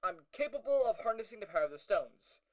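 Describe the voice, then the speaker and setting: A man's voice: high voice, big pitch swings, fast talker, highs cut off. A man playing an uneasy, wry young hero who narrates a fiction audio log in a casual, hesitant, conversational way. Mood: explanatory, serious. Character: young male hero recounting a meeting with Lucifer, wry, uneasy.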